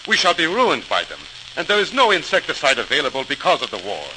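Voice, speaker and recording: An actor playing a distraught Swiss vineyard owner who pleads emphatically in 1940s radio drama style. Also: male voice, a little high, wildly swooping pitch, fast. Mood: distressed. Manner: emphatic, repetitive, foreign-flavored phrasing. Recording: noisy crackling old radio recording.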